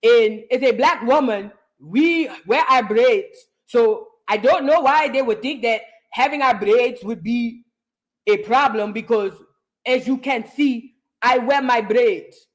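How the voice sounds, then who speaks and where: A woman putting on an African accent to mock a woman content creator, speaking slowly and over-carefully like a preachy lecture. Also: a little high, low-quality recording. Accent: put-on African. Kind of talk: parody impression.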